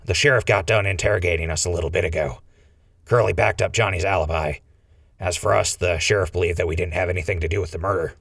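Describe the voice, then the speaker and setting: Man acting a gravelly, low-voiced wasteland schemer. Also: fairly even intonation, fast talker, a bit bright, dry recording, clean studio sound, far from the mic, exaggerated voice. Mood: nervous bluffing. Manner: wry, bluffing. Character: Crispy, glib scheming male wasteland fugitive. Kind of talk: scripted post-apocalyptic audio drama acting.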